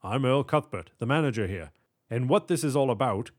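A man acts out a male bank manager with an ordinary middle pitch. He is blunt and wary, speaking with open disbelief. The style is that of a fantasy storybook. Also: expressive, a little fast, a little mellow, close to an everyday voice. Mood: curt. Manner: blunt, incredulous.

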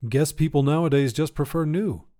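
A man's voice: fairly high pitch, normal speed, mellow, pitched above the performer's own voice, close to an everyday voice. Man playing an easygoing, laconic teenage grandson. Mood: mildly puzzled. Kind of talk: acted character dialogue in short fiction reading.

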